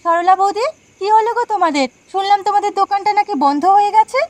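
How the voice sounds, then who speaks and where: A woman's voice: very high voice, fast, dry recording. A woman voicing a nosy, suspicious village neighbour in a cartoon, prying and insistent. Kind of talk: cartoon dialogue acting.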